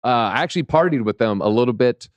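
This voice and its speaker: A man hosting a casual crypto market chat, playful and rambling, slightly hoarse. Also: medium pitch, expressive, fast, dry recording. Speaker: host.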